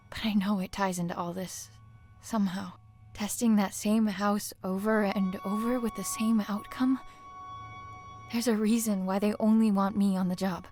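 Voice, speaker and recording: A woman's voice: medium pitch, a little mellow, natural voice. A woman playing a weary, troubled test worker in a video game, speaking earnestly and hesitantly.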